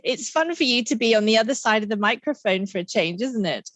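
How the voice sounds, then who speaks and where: A woman's voice: a little high, lively intonation, brisk, a bit bright, no room sound. A woman hosting an interview podcast, reading a guest introduction and asking short, friendly questions. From Singapore. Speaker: podcast host.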